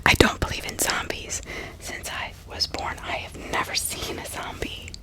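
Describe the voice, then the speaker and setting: Woman whispering an ASMR true-crime narration. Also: moderate pace. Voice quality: whispered, breathy and unvoiced. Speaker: ASMR host.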